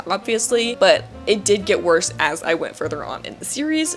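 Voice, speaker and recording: A woman chatting casually to camera as a book reviewer, with an emphatic, gushing, giggly manner. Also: on the low side. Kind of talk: casual chat to camera about books.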